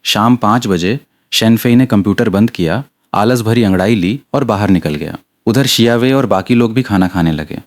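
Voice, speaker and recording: A man's voice: fairly low pitch, moderate pace. A man reading a scripted story aloud as an audiobook narrator.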